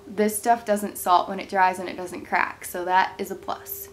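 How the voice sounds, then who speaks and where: Brief: young woman, congested cold voice, chatty and rambling craft vlogger, camera mic in a bedroom. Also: mid-range voice. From Ohio, USA. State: stuffed up, nasal from a cold. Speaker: craft channel host. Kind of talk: casual product review vlog. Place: bedroom, indoors.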